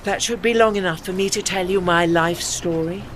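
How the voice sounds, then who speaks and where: A woman's voice: on the low side, normal speed, noisy background, natural voice. An actress playing a haughty, dry-witted old lady ship with an arch, formal manner in a period audio drama. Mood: imperious, dry.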